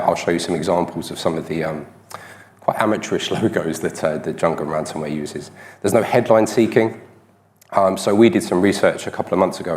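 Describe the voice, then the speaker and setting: A man's voice: sits low, a little mellow. A man giving a technical conference talk, a bit congested from a cold, with a self-deprecating, filler-heavy delivery. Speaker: conference speaker.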